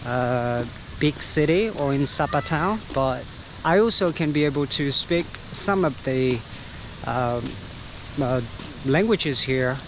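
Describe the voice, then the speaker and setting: A slow, fairly high-voiced man with a Vietnamese accent talks viewers through a village walk as their local guide. He pauses on fillers and keeps the chat loose. Outdoor noise sits clearly under his voice. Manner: chatty, halting with many fillers. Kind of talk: walking travel vlog. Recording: noisy outdoor recording.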